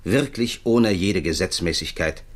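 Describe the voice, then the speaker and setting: An actor playing a cautious, sober computer expert in a 1960s German radio drama, explaining precisely and matter-of-factly. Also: male voice, low voice, a little slow. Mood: didactic. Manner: precise, didactic, matter-of-fact.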